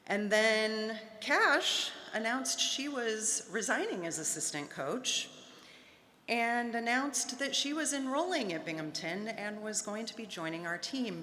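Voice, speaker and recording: A woman's voice: mid-range voice, bright, forceful tone. Woman, 50s, heartfelt ceremony speech, wry asides, echoey hall, faint background hum. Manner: heartfelt, with light humorous asides. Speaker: former player giving an induction speech. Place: large hall at an awards ceremony.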